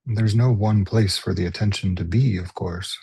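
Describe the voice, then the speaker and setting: A man guides a meditation in a mellow, lowish voice that is very husky and full of breath. He speaks gently in short phrases with unhurried pauses between them. The sound is close and dry. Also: dry recording. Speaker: mindfulness meditation teacher. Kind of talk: guided body scan meditation.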